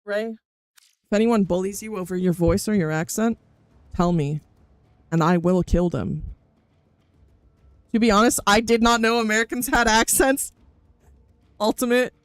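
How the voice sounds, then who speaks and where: A woman streams as a VTuber, talking casually while she plays a game. She reacts to her chat with animated outbursts. Loud game music runs under her voice throughout. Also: mid-range voice, measured pace, pauses often, dry recording.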